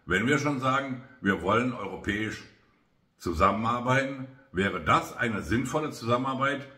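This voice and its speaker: Bright-voiced man in an echoey room. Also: mid-range voice. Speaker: politician hosting a weekly video review. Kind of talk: political commentary to camera. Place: small bare-walled room, indoors. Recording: roomy indoor echo.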